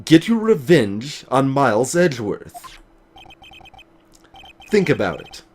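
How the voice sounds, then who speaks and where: A man's voice: mid-range voice, very animated, slow pace, a little mellow, slight background noise, voice pushed down. A man voicing a grave, haunted male prosecutor in a video game. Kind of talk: voiced visual novel game dialogue.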